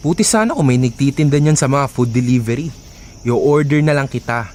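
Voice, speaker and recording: A man's voice: mid-range voice, a little fast, a little hiss or hum, lightly acted. A man voice-acting an exasperated, whiny young boyfriend in a Filipino audio drama. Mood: fed up. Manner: whiny, complaining, animated. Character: exasperated young boyfriend Gael, expectant father, dodgy charmer.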